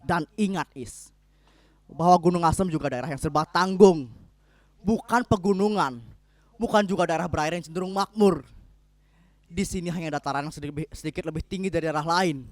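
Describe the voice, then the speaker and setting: A stubborn, pessimistic husband, acted by a man in a staged dramatic reading. His fairly high voice is declamatory and rhetorical, with theatrical pauses. The sound is close and dry. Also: no echo, close to an everyday voice. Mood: insistent, explaining.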